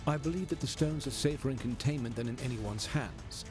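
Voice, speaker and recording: A calm, dry-witted android from a video game, played by a man. He speaks in a mid-pitched voice with formal, exact wording and a deadpan air. Music is clearly heard behind him. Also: brisk, lightly acted. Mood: dryly formal. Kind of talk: video game cutscene acting.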